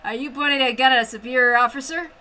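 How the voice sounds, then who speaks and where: A woman's voice: high-pitched, steady tone, fast talker, bright, forceful tone. A woman voicing a brutal, greedy military officer in a menacing, accusatory manner for a story reading. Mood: scheming.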